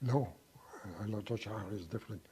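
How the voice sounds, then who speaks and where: A man in his nineties giving an oral history interview, reminiscing in a halting way with frequent pauses and restarts. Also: lowish voice, mellow, a little hiss or hum. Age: 90s.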